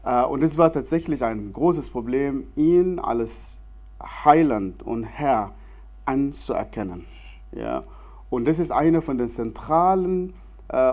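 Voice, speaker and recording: A man from Sudan, in his forties, talks in German, pausing often and laughing easily. His voice sits in a middle register. The sound is slightly dull, recorded in a church hall. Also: medium pitch, darkish tone. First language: Arabic. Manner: earnest, many hesitations, non-native grammar, laughs easily. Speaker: guest speaker, theologian. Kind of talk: church stage interview.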